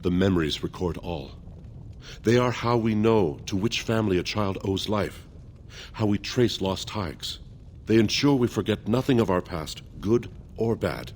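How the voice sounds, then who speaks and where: An actor playing a dignified older male dwarf archivist in a fantasy video game, speaking gravely and formally. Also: fairly low pitch, lively intonation, a little slow, a little mellow, a little hiss or hum. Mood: solemn. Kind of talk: video game character acting.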